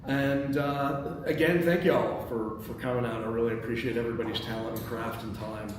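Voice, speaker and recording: A man's voice: mid-range voice, fairly even intonation, moderate pace. Man hosting table read, very echoey room. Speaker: host and stage-direction reader. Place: indoor meeting space. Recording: very echoey room, distant mic, background noise.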